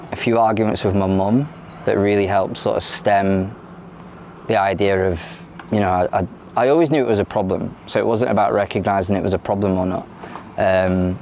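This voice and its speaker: A man in his thirties with an English accent from London, born in Ireland, speaking frankly in a candid interview, often pausing and restarting his sentences. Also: on the low side, darkish tone, a little hiss or hum. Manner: frank and plain-spoken, with frequent hesitations and restarts. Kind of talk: candid personal testimony.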